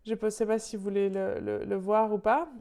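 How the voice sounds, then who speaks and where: A woman interpreting live in an online meeting over a video call, halting and searching for words as she goes. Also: mid-range voice, flat delivery, gravelly, mellow.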